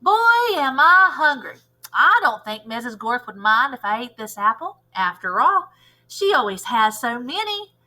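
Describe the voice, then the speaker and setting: Woman playing an easygoing, naive man, brightly voiced. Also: on the high side, sing-song, a little slow, full character voice. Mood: casual, hungry. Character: easygoing male school yard teacher, naive and cheerful.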